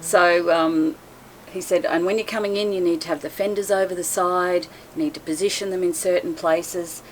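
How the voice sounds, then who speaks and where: A woman guest in a casual interview, telling stories with frequent laughter and animated asides. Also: fairly low pitch, normal speed, a little hiss or hum, rough recording. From Australia. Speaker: interview guest, boat cruiser.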